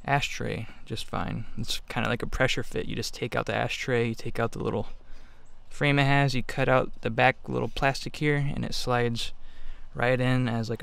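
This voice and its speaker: A man talking through a hands-on installation in a casual, unscripted way, halting and offhand as he thinks aloud. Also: medium pitch, creaky, a bit bright. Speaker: DIY installer giving a how-to. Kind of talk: casual unscripted tutorial narration.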